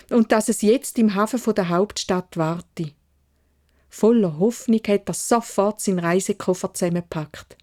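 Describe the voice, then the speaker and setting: A woman's voice: medium pitch, a little mellow. A woman with a Swiss German accent narrating a children's fairy tale expressively in dialect, as a storyteller.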